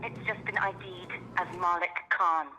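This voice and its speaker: An actress playing an urgent intelligence colleague reporting over a phone in a radio drama. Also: female voice, on the low side, fairly even intonation, normal speed. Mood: grave, urgent. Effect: phone call filter. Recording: muffled phone line with clear noise.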